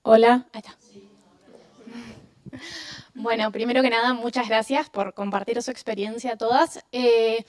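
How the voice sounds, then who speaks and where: A woman's voice: on the high side, fairly even intonation, moderate pace, pauses often, a little mellow. A young woman from Argentina with an Argentine accent, politely and somewhat hesitantly asking the panel a question from the audience. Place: university lecture hall.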